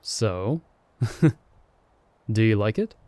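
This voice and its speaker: A man as a playful young sidekick, slow and mellow, lively pitch, close dry mic. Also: dry recording. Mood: cheerful. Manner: teasing, friendly and upbeat. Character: playful young man, the hero's friend summoned with him. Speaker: voice actor. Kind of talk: ASMR audio roleplay.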